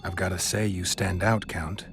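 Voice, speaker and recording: An actor playing a laconic, world-weary monster hunter in a video game, speaking in a gravelly voice with a terse, guarded deadpan. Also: male voice, sits low, normal speed, slight background noise. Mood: wry, guarded. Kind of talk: video game cutscene voice acting. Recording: music behind the voice.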